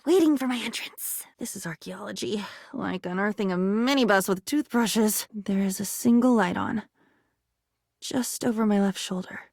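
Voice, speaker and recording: A woman voicing a whimsical, neurotic living diary in a video game, with a theatrical, rambling way of talking that swings between wonder and excitement. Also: mid-range voice, moderate pace, vocal fry, dry recording, clean studio sound. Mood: hushed, reminiscing. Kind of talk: video game character acting.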